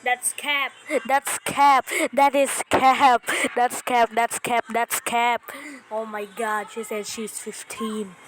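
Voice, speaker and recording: A woman's voice: fairly high pitch, flat delivery, slow, poor audio. A woman giving casual, mocking reaction commentary in a scornful, slangy manner, repeating phrases for emphasis. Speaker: YouTuber making a reaction video. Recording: loud music behind the voice. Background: music.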